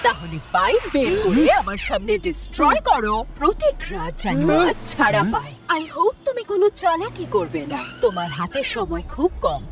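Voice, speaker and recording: A high, gravelly cartoon villain, taunting a captive with mock friendliness. The delivery swings widely, drawing out each threat over a music bed. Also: female voice, huge pitch swings, full character voice. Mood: commanding. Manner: mock-friendly, menacing, drawn-out teasing delivery. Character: taunting car-hacking villain making cold threats. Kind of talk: animated crime drama acting.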